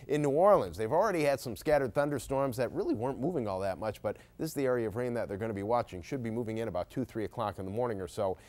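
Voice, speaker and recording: A man's voice: medium pitch, a little mellow. Conversational male TV weather forecaster. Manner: conversational, explanatory. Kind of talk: ad-libbed weather forecast presentation.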